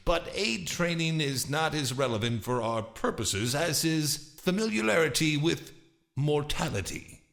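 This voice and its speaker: A man's voice: mid-range voice, vocal fry, a bit bright. A man playing a formal, grandiose and menacing stage magician in a dramatized audiobook. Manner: formal, courteous, grandiose showman. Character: theatrical, menacing stage magician, master of shadows.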